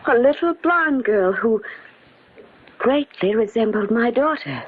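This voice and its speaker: An actress playing a troubled, wary invalid lady in a 1940s radio drama, speaking in a dramatic, deliberate way. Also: a little high, animated. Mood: puzzled, probing. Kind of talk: 1940s radio acting, first-person suspense narration. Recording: tinny 1940s radio recording.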